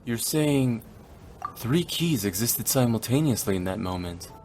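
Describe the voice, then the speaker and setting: A man playing a serious young traveler in a video game, speaking in a measured, questioning way. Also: medium pitch, expressive, a little mellow, lightly acted. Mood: wary, curious. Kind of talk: dramatic video game dialogue. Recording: studio voice over background music.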